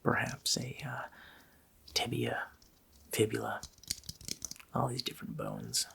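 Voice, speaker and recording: A man voicing a gloating, child-eating Christmas demon in a gravelly, breathy whisper, drawling casually and menacingly in an ASMR roleplay. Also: medium pitch, huge pitch swings, slow, noisy background, full character voice. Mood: ghoulish, playful.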